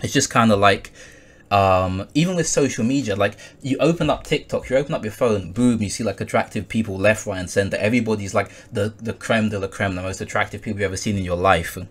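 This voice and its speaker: Twenty-year-old male guest, lowish voice; halting, filler-laden casual talk. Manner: hesitant, full of ums and likes, laughs often. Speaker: podcast guest. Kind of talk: casual conversation.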